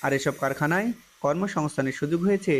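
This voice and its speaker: A man narrating a television news report in a measured, steady way. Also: on the high side, slight background noise. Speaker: field reporter.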